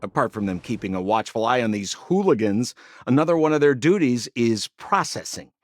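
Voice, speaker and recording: A man playing a smooth-talking, boastful young swindler guide in a video game, with a theatrical, wheedling salesman's manner. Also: mid-range voice, lively intonation, fairly quick, no room sound, lightly acted. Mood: breezy explaining.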